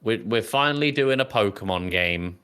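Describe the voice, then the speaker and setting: A man's voice: lowish voice, animated, normal speed, sharp tone, clear, resonant tone. A man hosting a podcast, chatting casually and steering the conversation. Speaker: podcast host. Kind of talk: casual chat, moderating.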